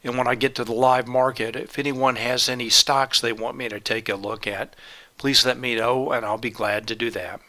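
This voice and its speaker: Bright-voiced man presenting, slightly congested. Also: mid-range voice, fairly even intonation, normal speed. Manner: methodical, lists steps and items plainly. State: congested with a cold, sore throat. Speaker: trading presenter. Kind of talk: live educational webinar presentation.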